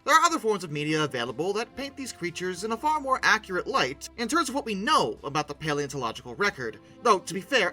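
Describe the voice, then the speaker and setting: A man's voice: high-pitched, bright, forceful tone. A man hosting a conversational video essay with playful, theatrical emphasis. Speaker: video host, game reviewer.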